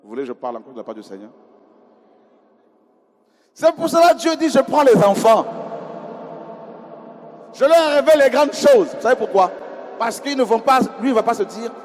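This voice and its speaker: A man's voice: very high-pitched, big pitch swings, moderate pace, long pauses. Man, preacher, sermon, conversational, asks the congregation questions, long hall reverb. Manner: conversational, questions the congregation, swings from quiet to loud cries. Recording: hall reverb with faint ambience.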